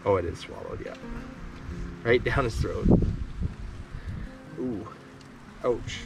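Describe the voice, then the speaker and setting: A man, hosting a fishing vlog from a canoe and talking excitedly to viewers. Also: mid-range voice, takes it slowly, rough recording. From Canada. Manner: excited, talks to camera viewers. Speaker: fishing show host. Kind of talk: casual outdoor vlog chat. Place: canoe on a river outdoors. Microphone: action camera on the canoe. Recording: music under the voice.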